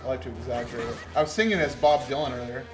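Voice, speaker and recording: A man's voice: medium pitch, very fast, over music. A man co-hosting a live gaming stream, chatty and self-deprecating as he tells little stories. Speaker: marathon co-host. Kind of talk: casual live-stream banter.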